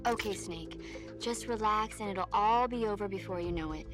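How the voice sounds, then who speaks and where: Woman doing a steady medic over the radio, step-by-step instructions, patient, middle-pitched, unhurried. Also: over music, close to an everyday voice. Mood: calm. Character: calm female field medic on radio. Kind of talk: video game cutscene acting.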